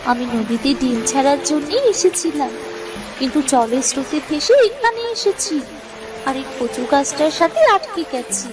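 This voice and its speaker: Woman voicing a plaintive, high magical fish queen. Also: expressive, moderate pace, poor audio. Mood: plaintive explaining. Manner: imploring, plaintive. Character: pleading magical queen hilsa fish, a worried mother. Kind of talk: cartoon voice acting.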